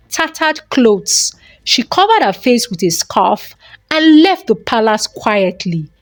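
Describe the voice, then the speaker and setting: A woman's voice: on the high side, dry recording. A woman narrating a folktale as a dramatic storyteller.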